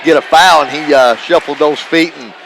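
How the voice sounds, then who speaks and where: A man's voice: a little high, normal speed, creaky, a bit bright. A man giving conversational, emphatic color commentary during a live basketball broadcast. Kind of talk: live sports analysis, conversational. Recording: noisy live gym broadcast. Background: gymnasium ambience, bouncing basketballs.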